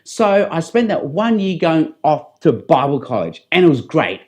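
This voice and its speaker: A middle-aged man with an Australian accent giving a personal testimony as a public speaker, in a deliberate, earnest way. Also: fairly high pitch, sing-song, a little mellow. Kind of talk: testimony addressed to camera.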